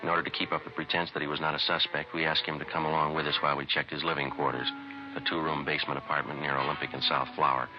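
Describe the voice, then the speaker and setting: A man's voice: extremely low pitch, level tone, racing, lo-fi sound, over music. A man playing a terse, methodical police detective in a clipped, matter-of-fact 1950s radio drama style on a vintage recording. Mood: deadpan, official. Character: terse, methodical male police detective narrating his case. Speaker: detective narrator.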